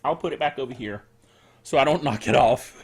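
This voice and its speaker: A man's voice: mid-range voice, breathy edge. An older man hosting a casual solo tech show, rambling playfully like a self-styled curmudgeon, stretching words for emphasis and tossing in spoken sound effects. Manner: animated, emphatic delivery with drawn-out words. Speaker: podcast host. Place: small home studio.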